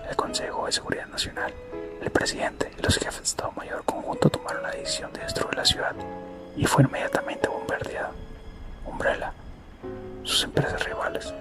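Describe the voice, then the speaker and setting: A man reads scripted ASMR narration in a hushed, breathy, unvoiced whisper, steady throughout. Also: very high voice. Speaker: ASMR channel host. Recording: whisper over background music. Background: music.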